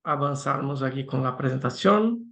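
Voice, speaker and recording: A man from Brazil speaking with a Brazilian Portuguese accent as a guest expert, presenting in a webinar and haltingly searching for words in a language that is not his native Portuguese. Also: mid-range voice, lively intonation, creaky, bright, forceful tone.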